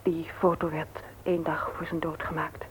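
A woman's voice: low voice, flat delivery, normal speed, breathy edge. An actress playing a candid, talkative widowed mother in a naturalistic radio play. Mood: subdued, wistful. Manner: talkative, confiding, forthright. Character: candid, chatty widowed mother, frank about her feelings. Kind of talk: naturalistic radio drama acting. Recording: muffled, noisy old radio play recording.

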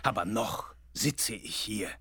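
An actor playing a coalition politician who speaks to the chancellor in a sarcastic, cutting way. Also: male voice, lowish voice, takes it slowly, gravelly, pushed and bright, slightly rough, no room sound, studio quality, full character voice. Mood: scornful.

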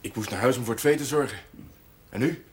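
An actor playing an earnest, awkward young farmhand in a television drama, speaking in a hesitant, apologetic manner. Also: male voice, medium pitch, fast talker, darkish tone, a little hiss or hum, natural voice. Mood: polite, reserved. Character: earnest, awkward young farmhand asking for his job back. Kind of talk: naturalistic television drama dialogue.

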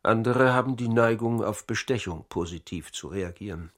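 An actor playing a middle-aged psychologist and academy director, giving a measured, steady account in a radio crime drama. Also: male voice, on the low side. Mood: matter-of-fact. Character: Dutch academy director, calm psychologist under interrogation.

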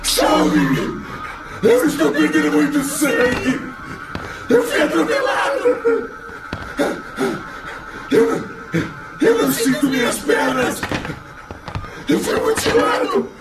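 A man's voice: extremely high pitch, big pitch swings, slow, long pauses, a loud crowd, exaggerated voice. A man playing a tormented, mutilated suicide spirit in a Brazilian radio drama, wailing and pleading desperately with a Brazilian accent. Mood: frantic agony. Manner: wailing, desperate, crying out in broken phrases. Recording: echoey, distant voice under loud music.

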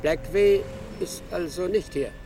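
A man playing an unflappable, laconic character in an audio drama, speaking tersely, drily and calmly. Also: sits high, unhurried, background noise. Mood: calm, dry. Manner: terse, dry, calm. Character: laconic, unflappable man leading a risky errand. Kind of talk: German audio drama dialogue.

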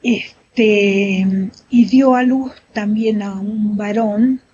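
An older woman giving testimony haltingly, with many fillers and pauses. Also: medium pitch, steady tone, mellow, husky. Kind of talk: spoken testimony. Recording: dull, lo-fi testimony recording.

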